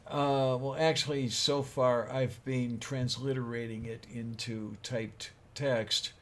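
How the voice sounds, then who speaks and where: A man's voice: medium pitch, fairly even intonation, slow. A man co-hosting a casual chat, joking and rambling with lots of fillers. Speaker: podcast co-host.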